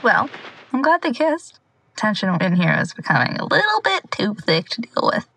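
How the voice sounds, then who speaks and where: A woman playing a wry young amateur investigator in an audio drama, quietly talking through her notes in a rambling, sardonic, confiding way. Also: medium pitch, sing-song, normal speed, a little hiss or hum, rough recording. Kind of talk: audio drama monologue, casual confiding log.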